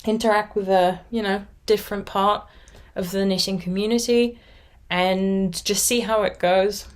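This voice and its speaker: A woman's voice: mid-range voice, steady tone, a little slow. A woman around thirty, originally from Austria with German as her first language, chatting casually and at length as a knitting podcast host. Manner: rambly, chatty, self-reflective. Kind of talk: casual vlog chat.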